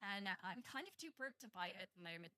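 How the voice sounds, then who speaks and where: A woman's voice: medium pitch, sing-song, fast, pushed and bright, dry recording, studio quality. A young British woman with a British accent, chatting casually and joking with viewers on a live stream, swearing freely. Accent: British English. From Britain, half Welsh and half English.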